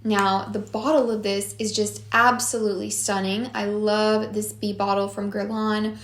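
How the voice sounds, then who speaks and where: A young woman reviewing perfumes in a casual, gushing vlog, her voice a little hoarse from a long day of filming. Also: medium pitch. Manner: chatty, unhurried and gushing. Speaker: beauty vlogger. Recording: echoey room.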